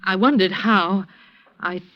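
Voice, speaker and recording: Female, acted lovestruck dupe for radio drama; slow, wistful, halting, shaken. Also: medium pitch, natural voice. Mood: wistful, stunned. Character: shaken, lovestruck woman duped by a swindler. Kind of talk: 1950s radio drama acting. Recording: dull old radio recording.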